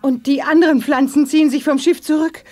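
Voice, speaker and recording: Woman acting a high-voiced, urgent young heroine. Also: steady tone, brisk, darkish tone, close to an everyday voice. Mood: urgent, determined. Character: brave, anxious young heroine of space adventure. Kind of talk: 1980s science-fiction radio play acting.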